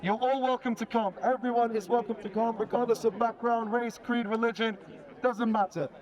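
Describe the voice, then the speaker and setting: A man's voice: very high voice, fairly even intonation, moderate pace. A man making a public announcement as host of an open mic at an outdoor rally. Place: outdoor urban protest encampment. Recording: dull sound, crowd behind.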